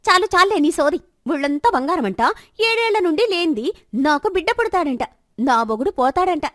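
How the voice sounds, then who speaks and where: A high-pitched village wife, acted by a woman storyteller, bitter and sharp-tongued. She throws scornful questions and complaints in a storybook drama, recorded dry and close. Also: lively intonation, fairly quick, dry recording. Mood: scornful, angry. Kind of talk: dramatized Telugu moral story.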